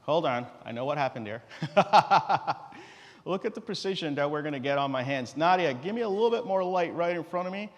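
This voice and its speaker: A middle-aged man from Guyana with a slightly high voice talks casually from a conference stage. He is relaxed and direct, joking as he demos technology, and his voice rings through a reverberant hall. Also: brisk. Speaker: company founder presenting a tech demo. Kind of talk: informal live stage presentation.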